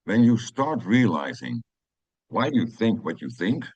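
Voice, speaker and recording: A man's voice: on the low side, sing-song, a little slow, darkish tone, breathy edge, no echo, dull audio. A middle-aged Dutch man with a Dutch accent, speaking English as a second language in a reflective personal monologue, hesitant with many fillers and restarts. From Netherlands. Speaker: podcast host.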